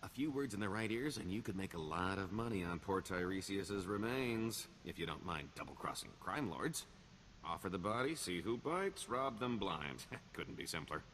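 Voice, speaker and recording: A man's voice: low-pitched, expressive, moderate pace, a bit bright, a little hiss or hum. A man playing a roguish middle-aged career criminal in a video game, wry and boastful, with a wheedling, theatrical sarcasm. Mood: sly, persuasive.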